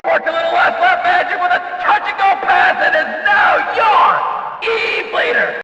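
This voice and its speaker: A man playing an excitable, over-the-top race announcer in a racing video game, calling the action with emphatic, drawn-out exclamations. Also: very high voice. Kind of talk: shouted arcade racing game commentary. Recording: echoey PA-like hall, distant, dull, crowd.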